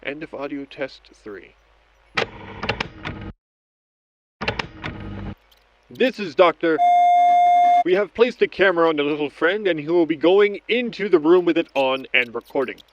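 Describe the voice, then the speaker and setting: A man playing a methodical scientist in an audio drama, narrating each step of an experiment log in a measured, clinical way. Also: on the high side, animated, natural voice. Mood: clinical, curious. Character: methodical male scientist doctor logging experiments on tape. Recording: dull lo-fi audio with background noise.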